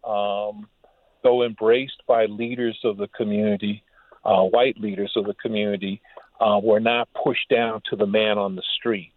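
Man, phone-like sound, lowish voice, measured and halting, formal scholarly interview guest. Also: level tone, dry recording. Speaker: historian and author, interview guest. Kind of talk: reflective interview talk. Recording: muffled phone-like line.

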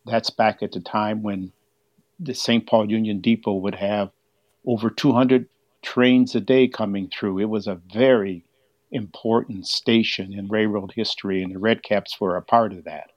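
A man's voice: on the low side, fairly even intonation, normal speed, dry recording. An older man explaining history as an interview guest, heard over a dull, band-limited line. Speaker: museum exhibit curator, interview guest.

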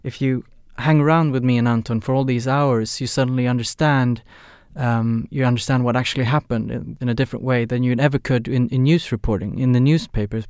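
A man with a Swedish accent reflects as an interviewed reporter. He speaks haltingly at a medium pitch, in a very dry recording. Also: darkish tone, smooth, no room sound. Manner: halting, searching for words. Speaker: investigative reporter.

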